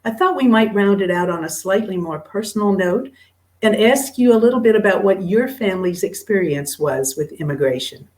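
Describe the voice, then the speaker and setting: A courteous, formal moderator leads a question period and thanks the guest. The voice sits at a middling pitch and the delivery is polite and unhurried. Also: animated. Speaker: seminar host, moderator.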